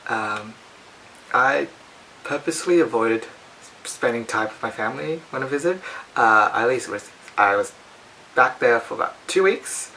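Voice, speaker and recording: Bright-voiced young Australian man, hesitant. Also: medium pitch, animated, vocal fry, slight background noise, poor audio. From Australia (Adelaide). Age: young adult. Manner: hesitant, halting, sighing. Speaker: vlogger. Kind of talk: personal vlog, reflective casual talk.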